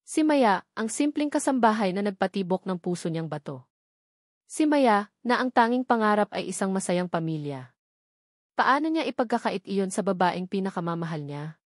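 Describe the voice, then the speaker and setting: A woman's voice: mid-range voice, very animated, moderate pace, no room sound, studio quality. A woman reading a dramatic scripted love story as its narrator.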